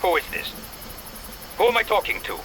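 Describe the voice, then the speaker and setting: A man's voice: sits high, animated, moderate pace. A man playing an imperious, irate villain scientist in a video game, barking out demands over background noise. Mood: angry, alarmed. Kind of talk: video game cutscene acting.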